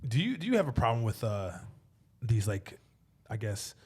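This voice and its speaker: A man's voice: lowish voice, very animated, moderate pace, darkish tone, breathy edge. A man in his thirties hosting a podcast, talking loosely with lots of "like" and some mild swearing. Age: 30s. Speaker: podcast host. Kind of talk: casual podcast interview.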